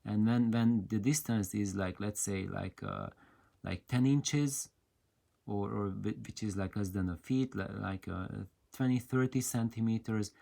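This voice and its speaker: A man giving an unscripted technical explanation as a presenter, with a hesitant, halting delivery full of drawn-out fillers. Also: lowish voice, animated, vocal fry, mellow. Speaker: audio hobbyist presenter.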